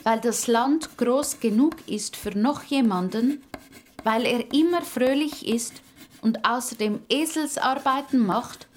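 A woman voicing an earnest, loyal young boy in a children's audio story, emphatic and deliberate, with a Swiss German accent. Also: fairly high pitch, voice pushed up.

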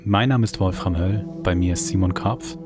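A man with a very low voice hosts a podcast over clear music. His delivery is measured and. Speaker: podcast producer and host. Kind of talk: podcast introduction and chat.

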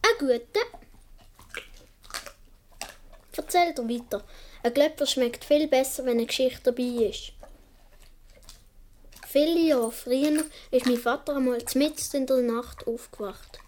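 A woman's voice: high voice, moderate pace, a little mellow, natural voice. A woman playing a young Swiss boy who tells his childhood adventures vividly in a radio play, with a Swiss German accent. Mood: storytelling. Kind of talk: first-person radio play narration.

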